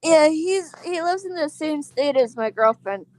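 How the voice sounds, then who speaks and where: Tired 20 yr old woman, high voice, swears a lot, calls everyone bestie. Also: lively intonation, measured pace, a little mellow, no room sound. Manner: casual, profane, calls others bestie. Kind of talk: casual stream chat.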